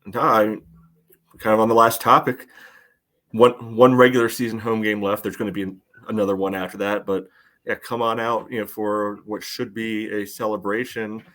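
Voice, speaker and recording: A man co-hosting a sports podcast, chatting casually with halting phrasing full of filler words. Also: lowish voice, flat delivery, a bit bright. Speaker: podcast co-host.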